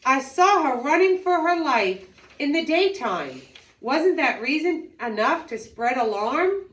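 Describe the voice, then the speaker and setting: A woman's voice: sits high, very animated, unhurried, fairly bright tone, full character voice. A woman voicing a big crow in a folktale, sounding the alarm and justifying itself. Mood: defensive. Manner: protesting, self-justifying. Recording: roomy echo, distant microphone.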